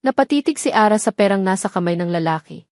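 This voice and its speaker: A woman's voice: medium pitch, lively intonation, fast, a little mellow, dry recording. Woman narrating, dry close sound. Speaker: storyteller. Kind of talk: scripted romance story narration.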